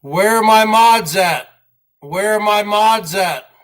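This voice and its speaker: Slow-talking, high-pitched American man. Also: fairly bright tone. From northern New Jersey, United States. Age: 40s. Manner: repeats phrases insistently, profane. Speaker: livestream host. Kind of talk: rambling livestream rant.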